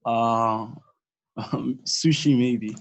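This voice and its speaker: A man from Nigeria talking on a video call, hesitant and unhurried. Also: medium pitch, steady tone, gravelly. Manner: slow, hesitant. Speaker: student participant. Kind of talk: casual video call chat.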